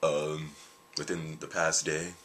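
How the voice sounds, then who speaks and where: A tired man with a very deep voice, chatting casually in a video and rambling with jokes, fillers and restarts. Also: little pitch movement, slow pace, a bit bright, a little hiss or hum. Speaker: gaming video creator. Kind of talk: casual vlog chat.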